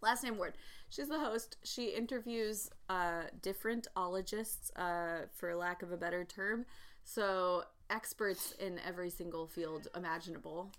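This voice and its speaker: A woman's voice: fairly low pitch, moderate pace, fairly bright tone. A young woman co-hosting a casual podcast chat, rambling with lots of ums and likes. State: pregnant. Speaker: podcast co-host.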